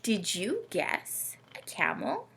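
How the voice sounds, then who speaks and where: Animated woman storytime host, bright creaky voice. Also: mid-range voice, slow, a little hiss or hum. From Canada. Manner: animated, teacherly, asks listeners questions. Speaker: children's read-aloud host. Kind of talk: children's storytime presenting.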